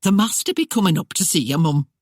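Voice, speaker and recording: A narrator voicing a gentle but insistent older housekeeper woman in an audiobook, with rustic old-fashioned speech. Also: medium pitch, sing-song, fast talker, breathy edge, no room sound, studio quality. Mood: urgent.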